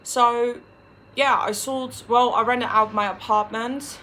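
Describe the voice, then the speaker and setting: A woman's voice: on the high side, steady tone, slow pace, sharp tone. Frank young Dutch woman vlogging. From Netherlands. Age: 20s. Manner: frank and unfiltered, swears freely. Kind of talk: candid personal vlog.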